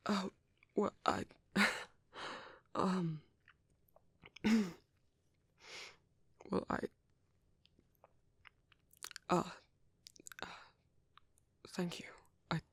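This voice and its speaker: Man playing a husky, whispery British vampire priest. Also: high-pitched, very slow, pauses often, dry recording, exaggerated voice. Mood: flustered, bashful. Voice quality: soft, breathy, husky whispering. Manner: hesitant, flustered, tender, formal wording. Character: gentle, shy British male vampire priest, protective caretaker. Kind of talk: soft intimate ASMR roleplay.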